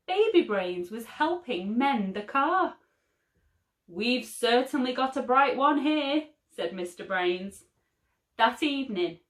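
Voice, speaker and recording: Slow, expressive storybook reader woman. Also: fairly high pitch. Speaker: teacher. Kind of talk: reading a picture book aloud to children. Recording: roomy echo.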